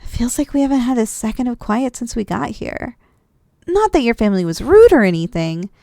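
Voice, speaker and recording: Drowsy, affectionate wife with a lilting high voice, acted by a woman. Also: creaky, dry recording, clean studio sound. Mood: weary, relieved. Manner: soft, affectionate, teasing, with sighs and giggles. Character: affectionate, drowsy, playful wife cuddling after a big dinner. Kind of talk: ASMR roleplay, intimate one-sided dialogue.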